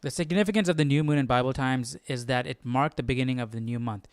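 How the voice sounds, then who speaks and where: A man with a smooth, clean voice on the low side, recorded close and dry. He hosts a Bible study stream, talking to himself in an informal, inquisitive way and reading passages aloud as he goes. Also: fast, no room sound. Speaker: Bible study livestream host. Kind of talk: casual livestream study, thinking aloud and reading articles aloud.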